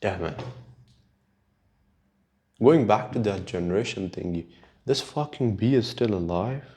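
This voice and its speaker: Slow, low-voiced man with Urdu accent. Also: animated, dark tone. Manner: unhurried, halting, sweary. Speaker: podcast host. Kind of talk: casual rambling solo podcast chat.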